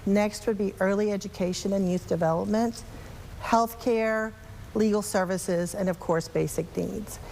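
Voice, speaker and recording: A woman's voice: mid-range voice, fairly even intonation, unhurried, gravelly, darkish tone, slight background noise, rough recording. A woman speaking as a nonprofit executive in a TV interview, explaining things in an organized, polished way. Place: TV studio.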